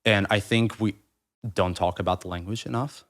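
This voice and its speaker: Low-voiced thirties man, Russian-native, rambling reflective guest speaking English. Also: fairly even intonation, normal speed, fairly bright tone. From Ukraine. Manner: rambling, restarts mid-thought, many fillers. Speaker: podcast guest, writer. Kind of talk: reflective conversational interview.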